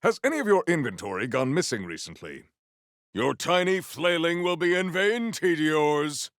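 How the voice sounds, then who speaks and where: Gravelly, grandiose warrior. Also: male voice, on the high side, big pitch swings, normal speed, a bit bright, no echo, studio quality. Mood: formal, measured. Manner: formal, archaic, grandiose phrasing. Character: proud, honourable warrior with solemn, grandiose temper. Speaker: video game voice actor. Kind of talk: theatrical video game character lines.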